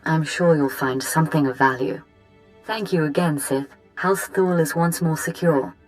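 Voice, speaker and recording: A courteous, anxious noblewoman played by an actress with a low voice. She speaks formally and deferentially, as in a video game drama, over faint music. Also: moderate pace, natural voice, low-quality recording. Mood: grateful, gracious. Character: polite, worried noblewoman pleading for help.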